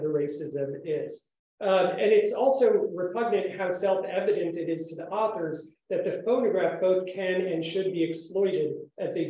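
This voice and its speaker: A man giving an academic conference talk as a lecturer, speaking in a measured, formal, articulate way in an indoor room. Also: a little high, mellow. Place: echoey indoor room, speaker standing while presenting. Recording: very echoey room sound.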